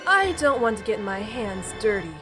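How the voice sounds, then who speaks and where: A woman's voice: mid-range voice, very animated, normal speed, over music, close to an everyday voice. A woman acting a vain, sassy, self-serving bat treasure hunter in a fan audio drama, with a haughty manner. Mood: disdainful.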